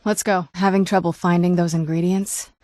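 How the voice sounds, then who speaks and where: A woman's voice: fairly low pitch, level tone, normal speed, a little mellow, no room sound, close to an everyday voice. Wry, guarded young vampire noblewoman, close and dry played by an actress. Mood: businesslike, casual. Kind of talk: video game character dialogue.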